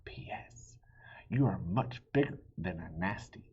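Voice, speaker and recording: Man, very husky and breathy, swooping expressive pitch, theatrical children's storyteller, slightly muffled recording. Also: unhurried. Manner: theatrical, with breathy hushed dips and exclamations. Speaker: children's book reader. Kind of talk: animated read-aloud storytelling for children.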